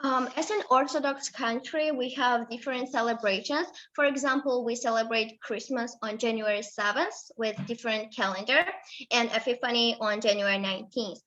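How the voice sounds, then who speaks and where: A young woman from Georgia, just out of school, presenting her country in English with a Georgian accent, halting and full of fillers and self-corrections. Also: on the high side, steady tone, a bit bright, muffled recording. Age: late teens. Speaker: student presenter.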